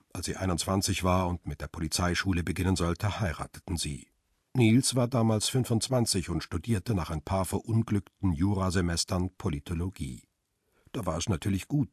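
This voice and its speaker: A man's voice: deep voice, darkish tone, husky, no room sound, studio quality. An actor playing a world-weary middle-aged paparazzo in a crime radio play, reflective and understated as he tells his story. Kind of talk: radio drama, first-person narration and dialogue.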